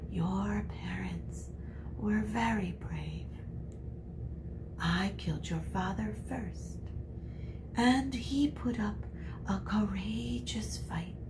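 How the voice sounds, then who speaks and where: Lady doing an evil wizard guy from a storybook, hissy and taunting, whispers or shrieks, some noise behind. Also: mid-range voice. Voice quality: breathy hissing whisper, breaking into shrieks. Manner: slow, drawn-out, taunting. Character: evil whispering dark-wizard villain, menacing, cruel, male. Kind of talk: children's novel read aloud.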